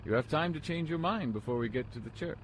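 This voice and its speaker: A man playing a brooding, courtly gentleman in a gothic audio drama, earnest and formal in his delivery. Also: on the high side, animated, fast talker, slight background noise, lightly acted. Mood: apologetic, tender.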